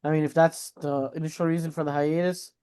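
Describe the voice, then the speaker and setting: A man's voice: fairly high pitch, level tone, a little fast, warm tone, clean tone. A man co-hosting a podcast, reacting to news in a casual, slangy way with mild swearing. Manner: slangy, informal, swears mildly. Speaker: podcast co-host.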